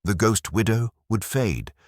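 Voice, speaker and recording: A man narrating a scripted mystery story in a measured, dramatic, radio-drama storytelling manner. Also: fairly low pitch, animated, dry recording, clean studio sound.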